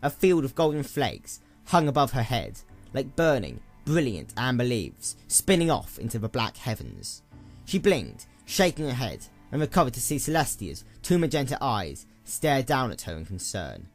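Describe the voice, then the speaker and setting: A man's voice: mid-range voice, huge pitch swings, normal speed, soft background music. A man reading scripted fiction as a dramatic story narrator. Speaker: audiobook narrator.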